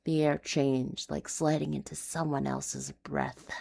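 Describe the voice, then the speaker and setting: A woman reading a noir urban fantasy story in a hushed, dramatic, deliberate way. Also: low voice, very animated, vocal fry. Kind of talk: scripted noir confessional storytelling.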